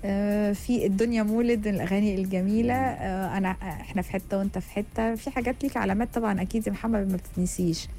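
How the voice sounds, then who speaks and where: An Egyptian woman hosts a radio interview, rattling along at a very rapid clip with a mellow, dark tone. She is chatty and full of fillers, with faint music behind her. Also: mid-range voice, fairly even intonation. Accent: Egyptian Arabic. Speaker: radio host.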